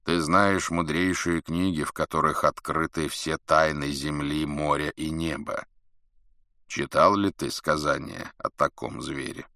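Man as a commanding, shrewd khan, very low voice. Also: flat delivery, sharp tone, no room sound, studio quality, full character voice. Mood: measured questioning. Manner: measured, deliberate, authoritative. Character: shrewd, commanding Mongol khan, calm and skeptical.

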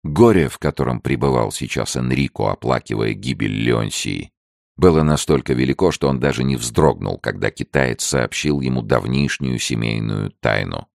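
A man reading a novel aloud as an audiobook, in an expressive, dramatic way. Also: rumbling low, normal speed, gravelly, dry recording, studio quality. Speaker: audiobook reader. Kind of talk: expressive scripted narration.

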